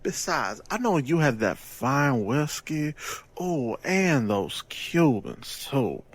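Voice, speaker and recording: An actor playing a mock-courteous gangster boss in an audio drama, with florid, formal phrasing. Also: male voice, medium pitch, big pitch swings, slow pace, slightly rough, exaggerated voice. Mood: smooth, scheming.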